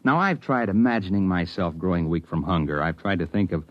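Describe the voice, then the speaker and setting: Earnest, brooding American actor-sleuth for vintage radio drama; low-pitched, insistent, dull old broadcast sound. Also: male voice, expressive, fairly quick, no room sound. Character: earnest, stubborn bald male stage actor turned amateur sleuth. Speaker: first-person narrator and lead character. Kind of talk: 1950s radio drama acting.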